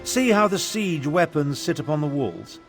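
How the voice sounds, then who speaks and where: A man's voice: fairly high pitch, big pitch swings, moderate pace, faint music behind. A man playing an eloquent, indignant royal half-brother in a video game, speaking in a formal, articulate, theatrical manner. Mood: scornful, persuasive. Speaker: guide. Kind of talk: scripted video game dialogue.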